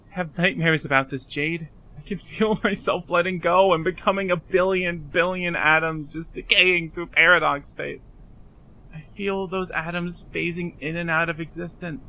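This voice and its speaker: Fan-dub drama role: despairing young man played by a man, high and sharp-edged, halting, anguished, pleading.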